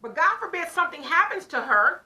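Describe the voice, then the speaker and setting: A woman's voice: very high voice, a little fast. A woman co-hosting a casual talk show, animatedly telling a story and acting out both sides of a conversation. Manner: animated storyteller who acts out her own side of conversations. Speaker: podcast co-host. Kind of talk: casual chat.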